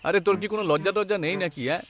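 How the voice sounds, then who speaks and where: A man voicing a boastful, taunting young village man in a cartoon story, with drawn-out teasing. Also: high voice, a bit bright. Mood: mocking. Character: mocking young village man bragging to his friends. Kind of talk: animated cartoon story voice acting.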